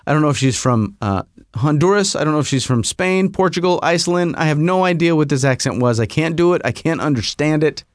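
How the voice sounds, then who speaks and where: A man with a fairly high voice tells a rambling comic story as podcast host, his pitch swooping up and down as he goes. He breaks into self-mocking asides. The sound is close and dry. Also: expressive, a little fast, no echo.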